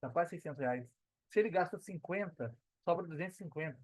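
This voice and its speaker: High-pitched earnest Brazilian man. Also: animated, a little fast, dry recording. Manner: earnest, emphatic, slangy, repeats phrases for emphasis. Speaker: podcast guest, digital entrepreneur. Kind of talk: casual conversational interview talk.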